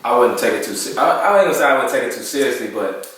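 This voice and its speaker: A man's voice: medium pitch, very fast, far from the mic. Man, 23, from Chicago, blunt and easygoing, very echoey room, off-mic with faint noise. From Chicago, USA. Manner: relaxed, blunt, laughs easily. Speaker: panelist. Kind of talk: casual panel discussion.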